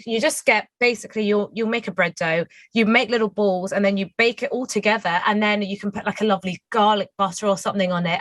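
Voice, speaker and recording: A hoarse young woman, a guest baker, chatting enthusiastically on the phone with many fillers. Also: medium pitch, a bit bright, no room sound, low-quality recording. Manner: enthusiastic, lots of "you know" fillers. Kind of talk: phone interview. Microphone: phone line.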